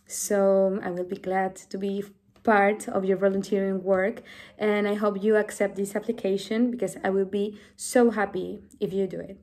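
A woman's voice: mid-range voice, gravelly, dark tone. Chilean spanish-accented woman, 20s, friendly self-intro to camera at home, restarts a lot. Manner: earnest, friendly, with repeated restarts. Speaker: English teacher applying for volunteering. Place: small room at home, indoors. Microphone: device camera at close range.

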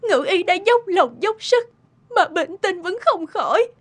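A woman's voice: very high-pitched, expressive, a little slow. A woman voicing a distraught royal consort in a cartoon, crying and faltering plaintively. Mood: tearful, fearful.

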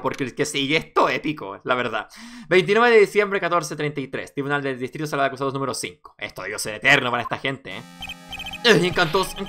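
Bright-voiced let's-player, casual commentary. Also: mid-range voice. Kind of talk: casual gameplay commentary and reading of game dialogue.

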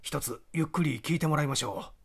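A man's voice: fairly high pitch, brisk, husky. A man voicing a troubled, earnest young diner owner in audiobook dialogue, explaining himself in a conversational way. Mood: earnest, confiding.

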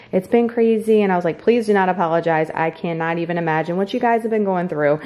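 Chatty woman, slightly low voice. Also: a little mellow. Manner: chatty, informal, emphatic repetition. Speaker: vlogger showing a grocery haul. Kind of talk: casual vlog chat. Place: home kitchen, indoors.

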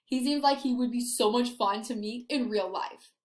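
A woman's voice: a little high, normal speed. A young woman teacher speaking to small children in simple, encouraging language with questions, recorded on a webcam in a room at home. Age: young adult. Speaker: elementary school teacher. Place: indoors, small apartment room. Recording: roomy home webcam sound.

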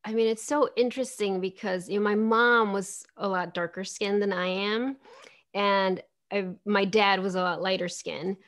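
A woman's voice: medium pitch, fairly even intonation, moderate pace, a bit bright. A woman in her forties whose first language is Spanish, talking earnestly and reflectively as a guest on a video call from home. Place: home room with plants and window. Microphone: video call webcam.

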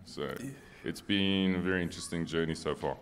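A man's voice: low-pitched, a little fast, slight background noise. A middle-aged man with a South African accent, a native Afrikaans speaker, telling personal stories casually on a panel into a handheld microphone. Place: on stage in a church hall.

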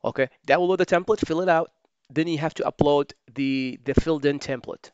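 A man giving a screen-recorded software tutorial, thinking aloud as he types and often correcting himself. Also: fairly high pitch, fairly quick, clean tone, no echo, lo-fi sound. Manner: relaxed, thinking aloud while typing, many fillers and self-corrections. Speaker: software trainer.

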